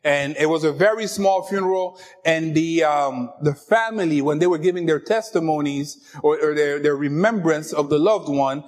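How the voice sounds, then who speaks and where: High-voiced French-native man preaching. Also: normal speed, hardly any pauses. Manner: lively, joking, talks to the congregation. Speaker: pastor. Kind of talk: sermon.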